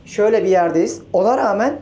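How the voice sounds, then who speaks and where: A man's voice: high-pitched, fairly quick, mellow, a little hiss or hum. A man hosting a casual travel vlog, talking to viewers in a chatty, informal, joking way. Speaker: travel vlogger.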